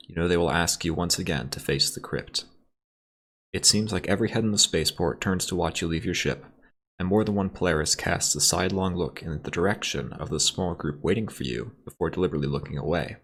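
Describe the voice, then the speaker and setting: Man, let's play host, deep voice, husky, slightly congested, casual commentary. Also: fairly quick. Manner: relaxed, thinking aloud while shopping for upgrades. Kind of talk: casual gameplay commentary and reading game text aloud.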